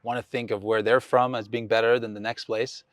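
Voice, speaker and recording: A man co-hosts a casual podcast, talking quickly at a medium pitch. His voice is recorded dry, with hardly any room sound. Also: little pitch movement, no room sound. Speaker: podcast co-host.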